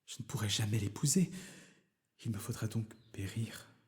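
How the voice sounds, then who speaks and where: A man voicing a timid, kind-hearted young woman in a fairy tale, softly and hesitantly. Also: fairly low pitch, moderate pace, natural voice. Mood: troubled. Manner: soft, hesitant, pleading.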